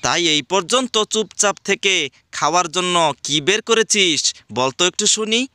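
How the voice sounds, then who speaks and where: A stern cartoon schoolmaster, quick to scold and throw up his hands at his pupils. The voice is bright and piercing, recorded bone-dry up close. He barks orders in sharp bursts. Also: medium pitch, animated, dry recording. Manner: scolding, exclaiming. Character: strict exasperated male schoolteacher. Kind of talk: cartoon voice acting.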